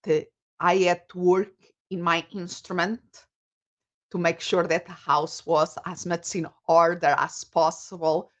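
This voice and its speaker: Slow low-voiced woman from Portugal. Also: a bit bright. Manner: reflective, many pauses and fillers. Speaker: guest, psychologist and coach. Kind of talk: interview answers.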